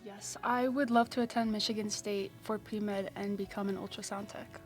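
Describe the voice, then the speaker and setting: A teenage girl from South Africa, a high school student, answering interview questions shyly and softly. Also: steady tone, normal speed, faint music behind. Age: teens.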